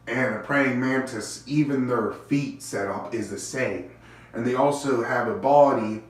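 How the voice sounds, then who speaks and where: Male host with a stuffy cold, low-energy rambling musings, very echoey bathroom recording, a bit off-mic. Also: distant mic. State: congested, stuffy-nosed. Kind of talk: casual speculative monologue. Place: small home bathroom.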